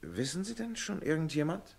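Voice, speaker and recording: An actor playing a practical, well-spoken gentleman in a 1960s radio play, a courteous old friend giving emphatic advice in a husky voice. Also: fairly high pitch, wildly swooping pitch, normal speed, heavily acted. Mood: probing, advising.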